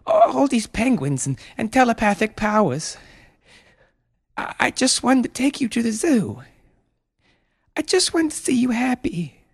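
A man voicing an anxious, protective mother in a story, with a breathy, pleading urgency. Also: medium pitch, sing-song, a little slow, pauses often, pitched above the performer's own voice, full character voice.

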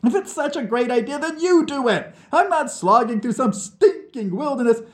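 Man acting indignant Soviet man, pitched way up. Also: very animated, measured pace. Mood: furious, sobbing. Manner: emphatic, indignant. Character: resentful, exasperated Soviet man, bursting into tearful ranting. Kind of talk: dramatized audiobook dialogue.

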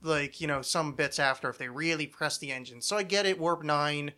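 A man's voice: on the high side, a little fast, pushed and bright. A man hosting a podcast, chatting casually in an opinionated, nerdy, swearing rant. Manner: ranting, profane, nerdy. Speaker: podcast host.

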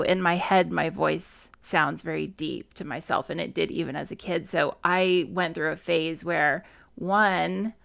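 A woman's voice: lowish voice, creaky, a bit bright. A middle-aged woman from southern Missouri, co-hosting a podcast and telling candid, reflective stories in casual chat. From southern Missouri, USA. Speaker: podcast co-host.

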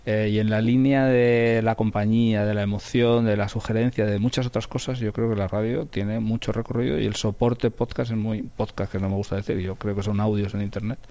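A man with an accent from Spain speaks in a fairly low voice, answering interview questions. He explains his views thoughtfully, restating and listing points for emphasis, on a somewhat dull, lo-fi recording. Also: little pitch movement, brisk, hardly any pauses, dark tone. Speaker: interview guest, radio executive. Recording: dull, muffled lo-fi sound.

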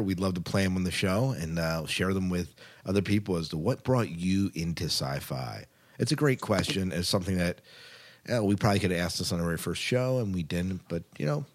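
A man hosting a podcast in a casual, informal, rambling way. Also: low voice. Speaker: podcast host. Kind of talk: casual sci-fi podcast talk.

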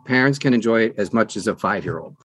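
An older man with a fairly low voice tells anecdotes as an interview guest. The sound is dry and close, with little room in it. He speaks conversationally, as an audiobook narrator off duty. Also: expressive, quick pace, fairly bright tone, dry recording, rough recording.

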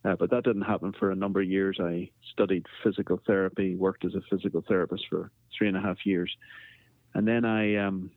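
A middle-aged man with a Northern Irish accent, a podcast guest telling his story in a measured, reflective way. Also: low voice, flat delivery, no room sound. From Northern Ireland. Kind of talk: interview conversation. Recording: tinny phone line.

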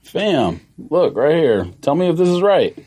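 A man's voice: fairly high pitch, big pitch swings, measured pace, warm tone. A man in a casual chat among friends, laid-back, laughing often and hesitating with fillers.

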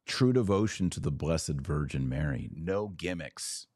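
A man's voice: a bass voice, lively intonation, a little slow, darkish tone, slightly rough, dry recording, clean studio sound. A man hosting a podcast. He reads out viewer comments, riffs on them with sarcasm, and turns preachy when he makes his point. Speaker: podcast host.

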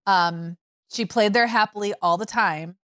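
A woman's voice: mid-range voice, a little slow, fairly bright tone, no room sound, studio quality. A middle-aged woman co-hosting a parenting podcast, telling stories in a chatty way with many fillers and restarts. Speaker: podcast co-host. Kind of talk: casual conversational chat.

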